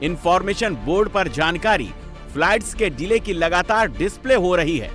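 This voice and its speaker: Animated high-pitched male news narrator. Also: normal speed, soft background music.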